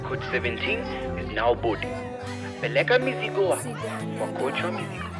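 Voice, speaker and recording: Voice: medium pitch, big pitch swings, moderate pace, over loud music, lightly acted. An actor with a Kenyan accent playing a train station announcer making announcements. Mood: announcing. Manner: announcing, mixes English and Swahili.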